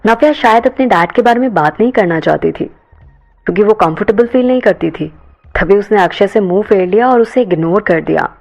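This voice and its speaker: A woman's voice: medium pitch, animated, fairly quick, creaky, darkish tone, dull audio, soft background music. A woman reading a scripted audio story as a dramatic narrator.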